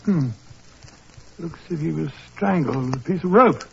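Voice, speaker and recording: Noisy dull radio recording, earnest English gentleman sidekick, high male voice, bluff, exclamatory, 1940s drama. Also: normal speed, creaky. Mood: puzzled, earnest.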